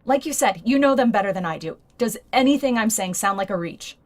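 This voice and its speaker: An actress playing a shrewd, blunt journalist in a naturalistic audio drama, speaking frankly and persuasively. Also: female voice, medium pitch, expressive, brisk, lightly acted. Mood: firm, sceptical. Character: shrewd, blunt female journalist negotiating a risky deal.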